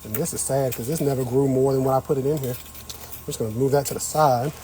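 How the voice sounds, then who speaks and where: A man's voice: mid-range voice, fairly even intonation, a little fast, mellow, a little hiss or hum. A man hosting a casual gardening livestream, chatting with viewers as he works the soil on an outdoor patio. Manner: chatty, talks to viewers while working the soil. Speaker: host.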